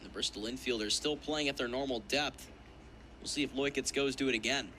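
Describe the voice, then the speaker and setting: Male color commentator with middling pitch. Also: a little fast, pushed and bright, a little hiss or hum. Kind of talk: live baseball analysis.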